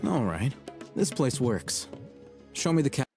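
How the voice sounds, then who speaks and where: Mellow, low-key young scholar. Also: male voice, very animated, gravelly, faint music behind. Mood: composed, analytical. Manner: measured, articulate, matter-of-fact. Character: composed young male scholar, aloof and analytical. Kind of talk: scripted video game dialogue.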